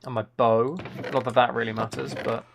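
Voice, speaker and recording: A man with a creaky voice chats casually as a live streamer while gaming, half talking to himself and half to his viewers. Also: mid-range voice, little pitch movement, a little fast. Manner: muttering to himself between replies to chat. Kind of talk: casual chat while playing a video game on a livestream. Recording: faint noise with keyboard clicks.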